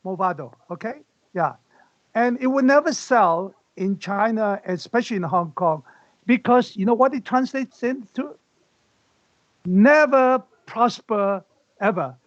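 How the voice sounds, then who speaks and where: A man's voice: high-pitched, animated, unhurried, dry recording. A man speaking as a panelist in an online discussion, chatty and hesitant, often laughing as he talks, heard over a video call. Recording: slightly muffled, dull call audio.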